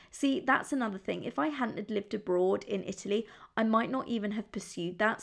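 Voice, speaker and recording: Upbeat woman podcast host with vocal fry. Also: medium pitch. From England. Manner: chatty and upbeat, confiding. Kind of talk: solo podcast chat.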